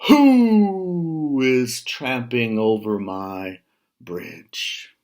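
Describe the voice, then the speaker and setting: A big, hungry storybook troll acted out by a man reading aloud roars in slow, thundering threats. The delivery is drawn-out and menacing, stretching the words for weight. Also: mid-range voice, expressive, a little mellow. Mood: roaring, menacing.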